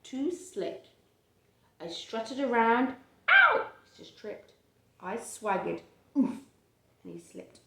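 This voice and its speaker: A woman reading a picture book aloud to a child and chatting about it, with expressive, drawn-out emphasis on phrases. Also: on the high side, creaky. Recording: roomy, echoey home recording.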